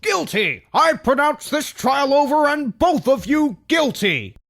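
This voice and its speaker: A man voicing an excitable, foolish small-town judge in a comic dub, declaiming his lines. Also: extremely high pitch, unhurried, gravelly, faint music behind, voice pushed up, exaggerated voice. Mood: excited.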